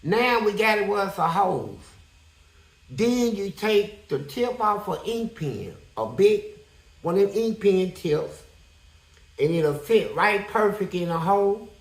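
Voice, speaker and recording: A man with a high-pitched voice telling a prison story in a blunt, profane, animated way as an interview guest. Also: takes it slowly, reverberant, rough recording.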